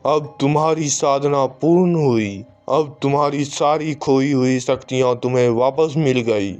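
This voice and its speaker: A man's voice: medium pitch, unhurried, a little mellow, soft background music, natural voice. A man voicing a menacing male ghost in a storybook horror cartoon. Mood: ominous.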